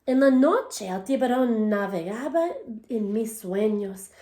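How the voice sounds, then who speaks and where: Woman teacher, bilingual read-aloud for young kids, slightly high voice, echoey room. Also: dark tone. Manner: reads in English then Spanish, pauses to ask children questions.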